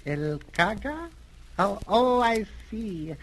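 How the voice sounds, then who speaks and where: An actress playing a mysterious Eurasian woman soothing a patient in an old radio drama, with deliberate, coaxing speech. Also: mid-range voice, lively intonation. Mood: soothing, guarded. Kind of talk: 1930s-40s radio drama acting. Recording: noisy vintage radio recording.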